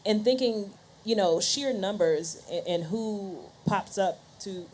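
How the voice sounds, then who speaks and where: A woman's voice: mid-range voice, slow, a little mellow, slight background noise. A woman speaking conversationally as a panelist in a discussion. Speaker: panelist, podcast host and scientist.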